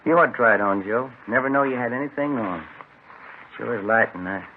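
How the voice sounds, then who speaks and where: An actor playing a chatty, fussy police detective in an old radio drama, folksy and earnest. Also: male voice, medium pitch, fairly quick, natural voice. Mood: chatty, pleased. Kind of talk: naturalistic 1950s radio drama acting. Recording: hissy, narrow old radio recording.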